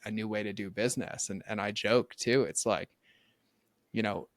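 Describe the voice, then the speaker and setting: Casting: middle-aged man, low voice, earnest and animated podcast guest, close dry mic. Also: moderate pace, no echo. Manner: earnest, animated, many "like" fillers. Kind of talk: casual faith conversation.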